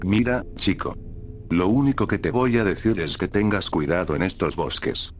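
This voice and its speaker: A man's voice: low-pitched, animated, normal speed, soft background music. A man voicing a stony, indifferent middle-aged mountain man in a horror story, speaking in terse, folksy phrases. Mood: stony warning. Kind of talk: acted dialogue in horror storytelling.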